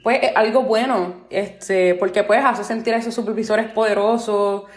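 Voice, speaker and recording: A woman's voice: medium pitch, fairly even intonation, normal speed. Puerto Rican woman in her twenties, roomy sound. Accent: Puerto Rican Spanish. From Puerto Rico. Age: 20s. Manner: many fillers such as "este", "pues" and "eh"; opinionated, earnest complaints about workplaces. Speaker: podcast host. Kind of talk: solo podcast monologue. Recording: roomy echo.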